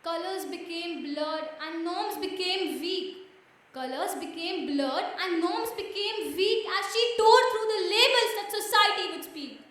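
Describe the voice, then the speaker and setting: A woman's voice: very high voice, a little slow, a bit bright. A woman performing spoken-word poetry in a dramatic, declamatory manner, repeating phrases for emphasis. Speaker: slam poet. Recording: very echoey room, mic far off.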